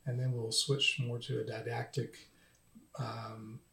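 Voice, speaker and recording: A man hosting a casual podcast, reading a scripted intro and then asking relaxed questions with fillers. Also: mid-range voice, slow, creaky. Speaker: podcast host.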